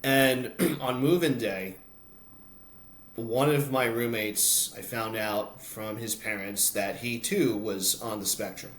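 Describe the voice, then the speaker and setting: A man's voice: fairly low pitch, takes it slowly, fairly bright tone. An American man talking conversationally as an interview guest, telling personal stories. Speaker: interview guest, announcer and voice-over artist. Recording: roomy echo, noticeable background noise.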